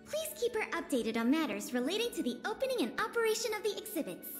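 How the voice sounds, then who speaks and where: A woman's voice: very high voice, sing-song, fast, in a large hall, over music. An actress playing a polite young female officer in a video game, speaking formally and articulately. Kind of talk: scripted video game dialogue.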